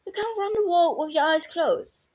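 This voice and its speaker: A woman's voice: very high voice, normal speed, darkish tone, voice pushed up. A woman reading as a wry young woman doctor. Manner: wry, teasing. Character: wry young female doctor, teasing friend.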